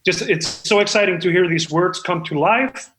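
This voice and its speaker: A man's voice: sits high, normal speed, fairly bright tone, poor audio. A man playing an eager, insecure amateur screenwriter in improvised comedy, speaking in an earnest, hesitant, rambling way. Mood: eager, excited. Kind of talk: improvised comedy podcast role-play.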